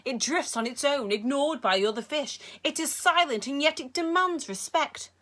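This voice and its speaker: A woman's voice: sits high, animated, normal speed, a bit bright, voice pushed up. A woman voicing a short, cold, menacing male tycoon with an Egyptian accent, speaking in a silky, self-satisfied way. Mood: smooth menace.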